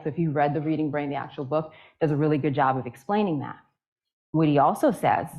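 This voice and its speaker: A woman's voice: lowish voice, a little mellow. A woman giving a keynote speech and then answering questions, earnest and emphatic, building her points through repetition. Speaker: keynote speaker.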